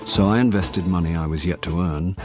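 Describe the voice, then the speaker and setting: A man's voice: low voice, a little fast, music in the background, lightly acted. A man with a British accent playing a wry, world-weary middle-aged jobbing actor in a radio drama, dry and self-deprecating. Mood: wry, confiding. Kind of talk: radio drama narration and dialogue.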